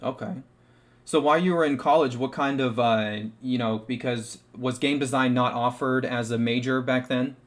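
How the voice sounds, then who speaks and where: A young man hosting a casual live-stream talk show. He is upbeat and chatty and leans on "uh" and "you know" as he introduces guests and asks questions. His voice sits at an ordinary middle pitch. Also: steady tone, moderate pace. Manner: upbeat, chatty, frequent fillers. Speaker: stream host.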